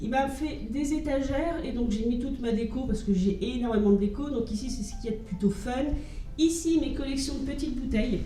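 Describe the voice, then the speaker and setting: A woman's voice: high voice, a little fast, dark tone, low-quality recording. A woman from France chatting casually to camera at home, showing her thrift finds with many fillers and restarts. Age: adult with children.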